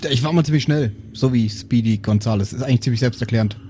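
A man's voice: mid-range voice, quick pace, mellow, slight background noise, lightly acted. A man playing a headless former speedster superhero in a tabletop game, a casual dude with a plaintive manner. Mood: casual, resigned.

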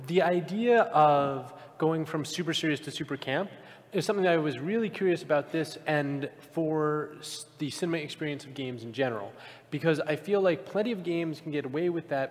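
Man, higher voice, panelist, measured, reverberant hall. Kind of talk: live panel discussion. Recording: hall mic with long reverb.

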